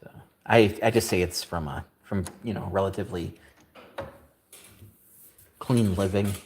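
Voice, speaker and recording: A man's voice: low-pitched, a little slow, darkish tone, a little hiss or hum. A man in his fifties hosting a woodworking livestream, chatting casually with a joking, self-deprecating, rambling manner. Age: 50s.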